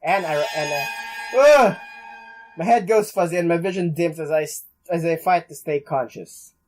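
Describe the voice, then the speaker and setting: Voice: medium pitch, a little fast, a little mellow, slight background noise, low-quality recording. A let's player reading a visual novel aloud in a dramatic, stumbling way and reacting along the way. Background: game music.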